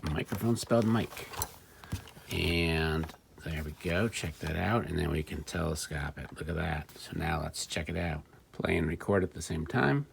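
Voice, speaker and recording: Low-voiced male vlogger, fry in the tone, thinking out loud while demonstrating gear; slight background noise. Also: normal speed. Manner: musing, thinking aloud. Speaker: vlogger demonstrating and repairing vintage electronics. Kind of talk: casual hands-on demonstration talk.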